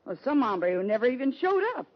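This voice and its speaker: A woman's voice: on the high side, big pitch swings, fairly quick. An actress playing a brassy, bossy big frontier woman with a Western American accent in an old-time radio drama. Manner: brash, scolding, folksy. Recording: dull, muffled old radio recording.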